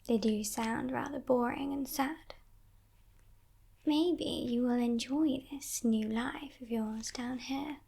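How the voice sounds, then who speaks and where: A woman voicing a playful, menacing female demon in a breathy, teasing whisper for an ASMR roleplay. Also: sits high, full character voice. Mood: coaxing, menacing. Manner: teasing, condescending, softly sinister, unhurried.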